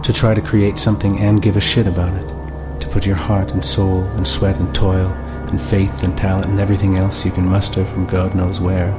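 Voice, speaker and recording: A man narrating a scripted, reflective monologue in a measured, deliberate and articulate way. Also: low voice, completely flat delivery. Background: music.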